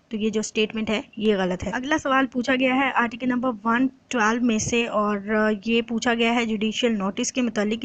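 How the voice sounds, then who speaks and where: Female lecturer, higher-set voice, didactic exam-prep explainer delivery. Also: rough recording. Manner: didactic, poses questions then answers them, slowly reads out English quotations. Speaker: law lecturer.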